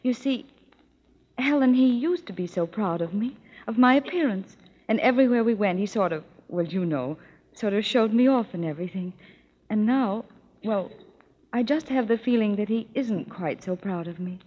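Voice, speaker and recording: Woman as hesitant young housewife, self-conscious, halting, 1930s radio ad, middle pitch, muffled old broadcast. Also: lively intonation, darkish tone, lightly acted. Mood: worried. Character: anxious young housewife worried about her hands. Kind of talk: 1930s radio commercial acting.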